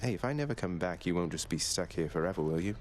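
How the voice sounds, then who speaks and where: Low-voiced, wry young prince. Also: male voice, animated, quick pace, slight background noise. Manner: dry, sardonic. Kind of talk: video game voice acting.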